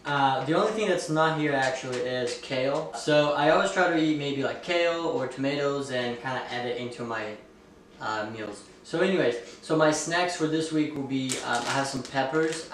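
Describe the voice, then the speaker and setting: A relaxed young man in a casual vlog, talking with plenty of "like" and "um". His voice sits at a middle pitch and is picked up from across the table. The small room around him rings with strong echo. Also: a little hiss or hum. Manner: relaxed, chatty, many fillers and "like". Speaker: fitness vlogger and ballet dancer. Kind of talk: casual vlog talk to camera. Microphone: camera mic at a distance. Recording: very echoey room, a bit far from the mic.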